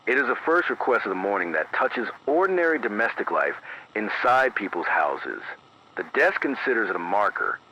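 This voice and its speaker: A man reading a scripted emergency radio news bulletin in a measured, deliberate, grave manner, through an AM radio filter. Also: on the low side, pushed and bright. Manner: measured, deliberate, grave, clipped official phrasing. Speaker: radio news anchor in a fictional emergency broadcast. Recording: muffled AM radio sound, background static.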